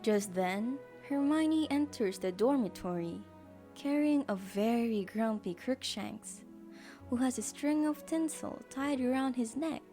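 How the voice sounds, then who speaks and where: A woman narrates a scripted audio drama in a fairly high voice. She takes a slow, measured pace. Music plays clearly behind her. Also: lively intonation, warm tone.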